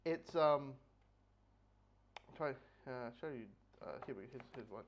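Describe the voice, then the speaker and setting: A man giving a casual, unscripted review in a rambling, halting way, often repeating words and restarting, with dull lo-fi sound. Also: medium pitch, lively intonation, a little hiss or hum. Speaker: book review host.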